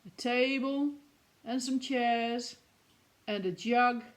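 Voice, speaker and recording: A woman reading a picture book aloud to young children in an expressive way, with chatty explaining asides. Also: fairly high pitch, slow.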